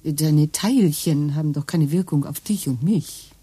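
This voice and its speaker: A woman's voice: low-pitched, measured pace, mellow, slightly rough, dry recording, heavily acted. An actress playing Liz, a practical married woman in a German radio play who doubts her partner's ideas. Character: down-to-earth skeptical housewife, married woman.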